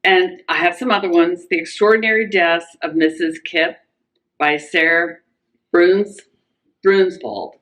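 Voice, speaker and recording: A mature woman with a fairly low voice shares reading recommendations as a co-host. Her delivery is casual and conversational, like chatting with colleagues. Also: measured pace, a bit bright. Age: middle-aged or older. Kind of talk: casual book recommendation chat.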